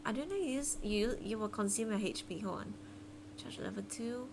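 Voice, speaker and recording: A woman's voice: on the high side, normal speed. A woman livestreamer giving casual commentary as she plays a game. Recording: clearly noisy stream with hum.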